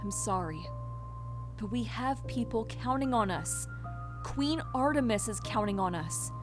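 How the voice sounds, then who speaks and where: A woman playing a practical young alien wife in an animated film, impatient but caring, scolding and pleading. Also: on the high side, unhurried. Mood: concerned, pleading. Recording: music under the voice.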